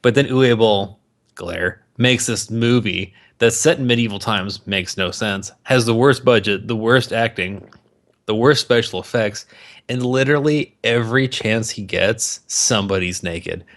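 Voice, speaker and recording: A man's voice: lowish voice, a little slow, a bit bright. A man co-hosting a podcast in casual, opinionated banter, swearing freely and talking in sweeping exaggerations. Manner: profane, hyperbolic. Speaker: podcast co-host.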